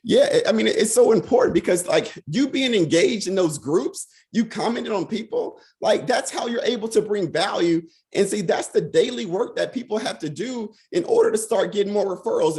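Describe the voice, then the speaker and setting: A man leading a financial sales team on a video call, hoarse from being sick, talking fast and repeating "right?" over and over. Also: high voice, darkish tone. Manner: fast, repetitive, peppered with "right?". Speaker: financial services team leader. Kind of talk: motivational talk on a video call.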